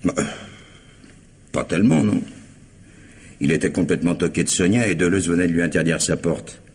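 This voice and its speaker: An actor playing a streetwise, self-justifying ex-convict protesting under police questioning in a French radio crime drama. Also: male voice, deep voice, brisk, a little mellow, slightly rough. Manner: slangy, protesting, emphatic.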